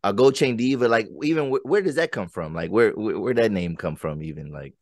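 Deep-voiced rambling podcast host. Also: male voice, lively intonation, a little fast, no room sound, studio quality. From central Pennsylvania. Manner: rambling, many fillers and restarts. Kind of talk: casual interview chat.

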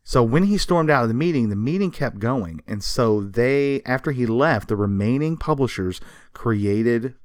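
A man's voice: mid-range voice, expressive, normal speed, no echo, studio quality. A man co-hosting a podcast, casually telling history in an emphatic, conversational, explanatory way. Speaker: podcast co-host. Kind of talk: casual storytelling of comics history.

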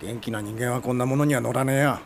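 An actor in a radio drama playing a crude, proud old film director, curt and teasing, with a gravelly voice. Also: male voice, medium pitch, fast talker, noisy background, lightly acted. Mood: needling. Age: older. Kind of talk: Japanese radio drama acting.

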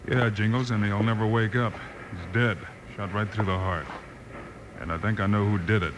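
A man playing a steady, commanding western marshal in an old radio drama, clipped and plain-spoken. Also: on the low side, normal speed, lightly acted. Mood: grim, determined. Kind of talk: 1950s radio western acting. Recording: noisy old radio recording.

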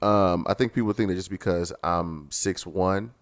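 A man's voice: sits low, normal speed. A man chatting casually in slang with a laid-back manner, laughing often. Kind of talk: casual chat.

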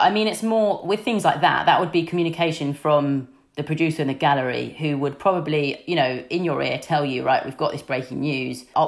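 A young woman with a deep, husky voice, a sports news presenter chatting candidly in an interview, full of "you know" fillers. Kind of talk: casual interview chat.

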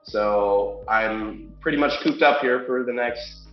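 A man's voice: medium pitch, slow, soft background music. A young man with a congested, nasal voice, co-hosting a sports podcast in a casual chatty way, with frequent ums and restarts. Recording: echoey room, dull lo-fi sound.

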